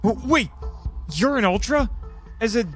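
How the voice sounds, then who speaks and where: A man with a theatrical, excitable delivery, acting and narrating a scripted first-person drama. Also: very high voice, unhurried, soft background music. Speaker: host and narrator of a fiction podcast.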